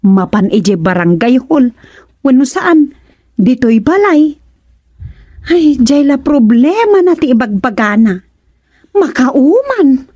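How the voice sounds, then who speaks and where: A woman's voice: high voice, sing-song, slow, pauses often, mellow, husky, no echo, full character voice. A woman playing a chatty, gossiping village busybody in a radio comedy drama, with an Ilocano accent and exclamatory manner. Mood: gossipy.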